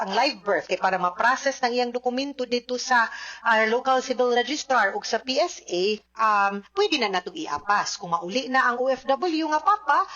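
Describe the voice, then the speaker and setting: Female advice host, Cebuano Filipino accent, sharp bright delivery, explanatory with English mixed in, dull lo-fi recording. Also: fairly high pitch. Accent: Filipino, Cebuano Bisaya. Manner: explanatory, code-switches into English, frequent checking tags. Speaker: legal advice host answering listener questions. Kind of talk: spoken advice, reading and answering questions.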